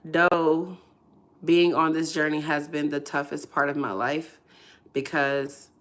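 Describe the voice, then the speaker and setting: A hoarse, congested woman hosting a ministry livestream, sharing a personal testimony in a soft, hesitant way with many fillers. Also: deep voice, level tone, takes it slowly.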